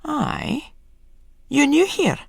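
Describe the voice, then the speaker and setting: A woman's voice: high voice, sing-song, extremely slow, vocal fry, no room sound, clean studio sound, pitched above the performer's own voice, full character voice. A woman voicing an eccentric, proud old rustic man with a Scottish accent in an audio story, speaking in folksy dialect. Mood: proud, matter-of-fact. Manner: folksy dialect, matter-of-fact pride. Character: old rustic male hedger, proud, eccentric asylum leaver.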